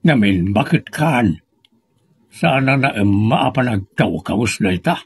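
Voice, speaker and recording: An actor playing a grumbling, defensive old husband in an audio comedy drama, with drawn-out scolding retorts. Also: male voice, mid-range voice, lively intonation, husky, dry recording, studio quality, full character voice. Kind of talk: comedy radio-style drama acting.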